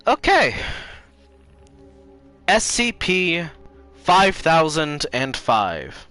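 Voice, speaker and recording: Guy on a game stream, bright forceful voice, soft music under him. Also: on the high side, huge pitch swings, very slow. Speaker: livestream host playing games and reading aloud. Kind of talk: casual stream chat and dramatic reading.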